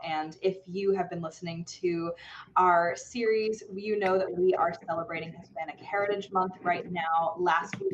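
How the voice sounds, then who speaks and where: A woman's voice: lowish voice, lo-fi sound, slight background noise. A woman hosting an interview podcast, upbeat and enthusiastic with her guests. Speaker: podcast host. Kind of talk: casual interview.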